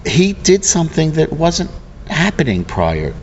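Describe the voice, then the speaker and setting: A middle-aged American man giving a casual interview, speaking earnestly and haltingly, often circling back mid-sentence. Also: a little high, wildly swooping pitch. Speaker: interviewee, local arts program representative. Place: event venue before a program starts. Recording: dull, noisy on-location recording.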